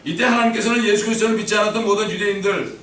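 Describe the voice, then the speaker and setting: A man's voice: high-pitched, steady tone, racing, fairly bright tone, a little hiss or hum. Man preaching in an echoing church. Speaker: pastor. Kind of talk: sermon. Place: church sanctuary. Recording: roomy church echo from far off.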